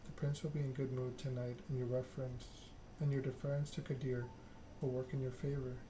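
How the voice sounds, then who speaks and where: An aloof, scheming vampire lady as a man reads her for ASMR speaks formal game dialogue with deliberate condescension. The pitch is middling and barely varies, over clearly audible noise. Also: little pitch movement, natural voice. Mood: coolly bargaining.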